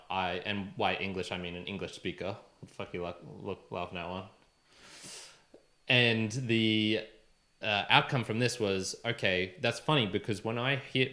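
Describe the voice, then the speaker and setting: An Australian man with a notably low voice, co-hosting a podcast in a loose, unfiltered way. He wanders through anecdotes and swears casually as he talks. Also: bright, forceful tone. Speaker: podcast co-host.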